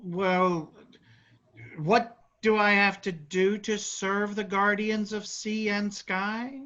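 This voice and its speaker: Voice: mid-range voice, fairly even intonation, slow pace, pushed and bright. An actor playing a cautious, dutiful, anxious big brother in a staged fantasy play reading. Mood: nervous. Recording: echoey room, dull lo-fi sound.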